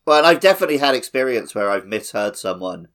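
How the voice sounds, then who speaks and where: A man's voice: medium pitch, big pitch swings, fast talker, a bit bright. A man co-hosting a gaming podcast, talking casually in a wry, joking manner. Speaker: podcast co-host.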